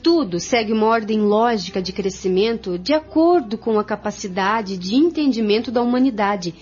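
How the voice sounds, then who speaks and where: A woman's voice: medium pitch, a little mellow, close to an everyday voice. A woman with a Brazilian accent playing an earnest spiritist who explains formally and carefully in a radio drama. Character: earnest, explanatory female spiritist group member. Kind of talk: amateur radio drama acting. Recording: dull lo-fi, music behind.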